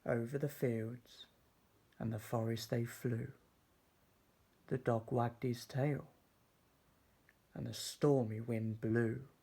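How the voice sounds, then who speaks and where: Very slow, gravelly male storybook reader. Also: mid-range voice, steady tone, mellow. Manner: measured, dramatic storytelling. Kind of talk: expressive children's story narration.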